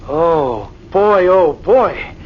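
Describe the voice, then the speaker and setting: A man's voice: on the high side, animated, extremely slow, echoey room, recorded from a distance. An actor playing an eager, naive young man on a dig, with boyish, folksy exclamations in 1940s radio drama style. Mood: excited. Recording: muffled, noisy 1940s radio recording.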